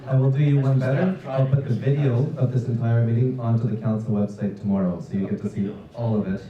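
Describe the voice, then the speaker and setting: A man moderating a public meeting, polite and brisk as he passes the floor around. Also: medium pitch, steady tone. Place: civic centre meeting hall. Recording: very echoey, distant, dull, with crowd.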